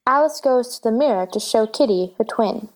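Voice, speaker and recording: A woman narrates in a somewhat high voice. She reads a script's stage directions, setting the scene between the dialogue. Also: lively intonation, normal speed, darkish tone.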